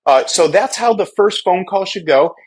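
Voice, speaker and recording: A man's voice: high-pitched, animated, moderate pace. A man working as a real estate sales trainer, giving an instructional talk in a coaching way, often checking in with his listeners. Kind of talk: instructional training talk with role-played example phone lines.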